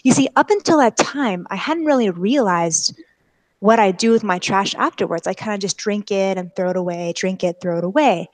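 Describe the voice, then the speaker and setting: A woman's voice: medium pitch, poor audio. Enthusiastic young Californian woman presenting. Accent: American, Californian. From California, United States. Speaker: guest speaker, explorer and storyteller. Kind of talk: informal interactive presentation to school classes. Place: live video call with screen sharing.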